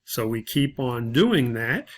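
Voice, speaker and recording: A man's voice: medium pitch, big pitch swings, slow pace. A man giving an informal video lecture as a college instructor, explaining worked problems in a loosely improvised way with asides.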